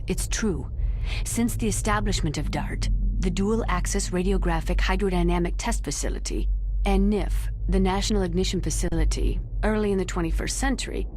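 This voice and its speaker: An actress playing a knowledgeable, sober military analyst in a video game, speaking in a measured, informative and solemn way. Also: female voice, medium pitch, background noise, natural voice. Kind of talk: video game briefing dialogue.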